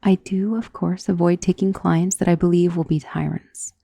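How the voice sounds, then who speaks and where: A woman playing a centuries-old, wealthy vampire in an ASMR roleplay, doting and possessive, speaking in a soft, intimate, genteel and commanding manner. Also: fairly low pitch, steady tone, gravelly, husky, no echo, studio quality. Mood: calm, assured. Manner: soft, intimate, genteel, unhurried endearments, often dropping into whisper. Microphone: close microphone in a dry space.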